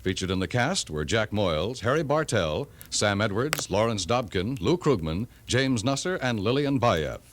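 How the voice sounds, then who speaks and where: Animated 1950s American radio announcer man. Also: lowish voice, moderate pace, hardly any pauses, heavy background noise. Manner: formal, declamatory.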